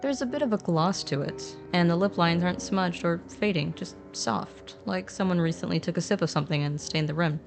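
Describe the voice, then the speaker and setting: A woman with a fairly low voice narrates a fiction podcast. She describes scenes in short conversational phrases. Music is clearly audible behind her. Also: fairly quick, few pauses. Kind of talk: scripted story narration.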